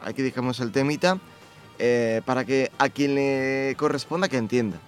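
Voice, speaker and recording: A man's voice: medium pitch, steady tone, moderate pace, faint music behind. A man from Spain with a Castilian Spanish accent, co-hosting a casual radio show with wry, rambling asides. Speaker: radio co-host.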